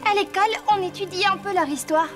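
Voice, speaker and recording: Very high wry cartoon girl. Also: fast, music in the background. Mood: matter-of-fact. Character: wry, practical teenage girl horse rider. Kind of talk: French animated series dubbing.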